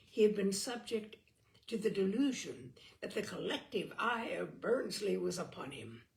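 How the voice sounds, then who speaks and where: A woman reading a literary story aloud as narrator, expressively, with theatrical, deliberate phrasing and dramatic pauses. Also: mid-range voice, vocal fry, a little mellow. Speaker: audiobook reader and storyteller.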